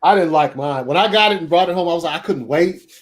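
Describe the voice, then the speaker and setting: Higher-voiced man, slangy and emphatic. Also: quick pace. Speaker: podcast panelist. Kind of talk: casual movie chat.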